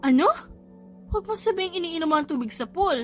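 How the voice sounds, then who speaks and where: A woman voicing a caring young mother, gently chiding and concerned, in an audiobook story. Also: very high voice, expressive, fast, soft background music, pitched above the performer's own voice. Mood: concerned scolding. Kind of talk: audiobook character voice in a family romance story.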